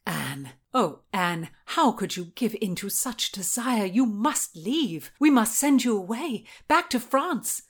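A woman's voice: medium pitch, big pitch swings, takes it slowly, few pauses, slightly rough, exaggerated voice. Period audiobook: woman as loyal but outraged noblewoman, middle register, emphatic, stern, scolding. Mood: urgent dismay. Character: Margaret, stern, outraged Tudor lady and loyal friend.

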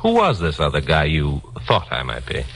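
A low-pitched American tough-guy investigator from a 1950s radio drama. He is wry, laconic and a little flirtatious, speaking in hard-boiled style over prominent music. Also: wildly swooping pitch, moderate pace, fairly bright tone, exaggerated voice. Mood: curious, probing. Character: wry, flirtatious tough male investigator, narrating his own adventure. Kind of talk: 1950s radio drama acting and first-person narration.

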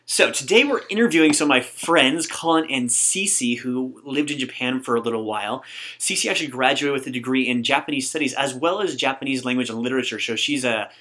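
A man's voice: medium pitch, pushed and bright. A man hosting a casual language-learning podcast interview, upbeat and joking with his guests. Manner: upbeat, joking, quick asides. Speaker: podcast host.

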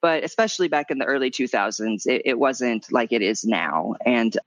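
A man in his thirties from Missouri with a light, higher voice, talking candidly as an interview guest and often swooping up in pitch when animated. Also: moderate pace, no room sound. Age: 30s. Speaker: podcast guest, memoir author.

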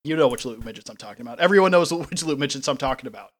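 A man of ordinary pitch co-hosts a podcast in a casual way. He gets animated as he tells his stories and often laughs partway through them. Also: rapid-fire. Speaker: podcast co-host. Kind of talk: casual chat.

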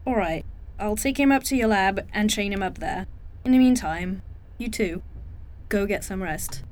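An actress playing a tough, cool, protective female fighter in an audio drama, deadpan and commanding. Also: medium pitch, moderate pace, close to an everyday voice. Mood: brisk concern.

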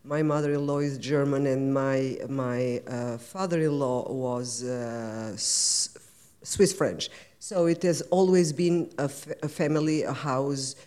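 A woman speaks English with an Albanian accent, her tone mellow and low in brightness. She talks reflectively, often stopping and restarting mid-thought. It sounds like a live panel discussion at a literary event. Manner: thoughtful, halting, searching for words. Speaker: writer on a panel. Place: lecture event before an audience.

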